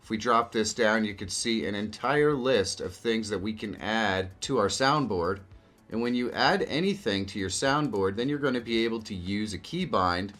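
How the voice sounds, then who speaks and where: A man hosting a casual software walkthrough as a video tutorial. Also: on the low side, animated, moderate pace. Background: background music.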